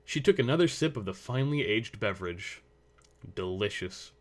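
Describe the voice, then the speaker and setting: A man's voice: fairly low pitch, animated, moderate pace. A man reading scripted story narration as the narrator.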